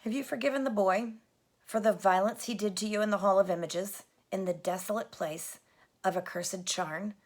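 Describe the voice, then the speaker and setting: A woman voicing a kindly, solemn great lion king, speaking in a grave, measured, hushed way. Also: medium pitch, close to an everyday voice.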